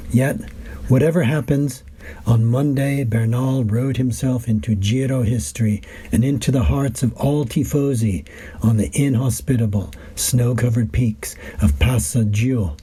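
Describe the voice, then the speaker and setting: Husky, mellow man reading a script. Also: medium pitch. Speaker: wine and travel writer reading his reports. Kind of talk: scripted narration.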